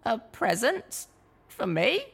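A man doing a high, stubborn young unicorn mare. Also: sing-song, takes it slowly, vocal fry, a bit bright, breathy edge, full character voice. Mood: surprised. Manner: high and firm, insistent. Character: young female unicorn wanderer, earnest and stubborn. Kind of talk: audiobook reading.

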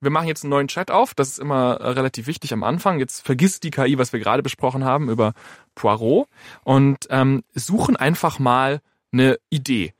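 A man's voice: mid-range voice, a little fast, dry recording, studio quality. A young man coaching colleagues in casual, patient and direct explanatory talk. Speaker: expert colleague coaching the hosts.

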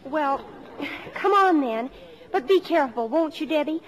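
A woman's voice: high-pitched, animated, unhurried, poor audio. A woman playing an earnest, easily flustered American teenage daughter in a 1950s radio comedy. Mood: nervous. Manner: eager, hesitant, flustered. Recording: old radio broadcast.

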